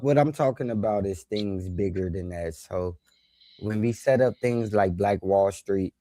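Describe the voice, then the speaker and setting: A man co-hosting a live podcast, arguing earnestly in a halting way that repeats itself. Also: low voice, dark tone, no echo. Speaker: podcast co-host.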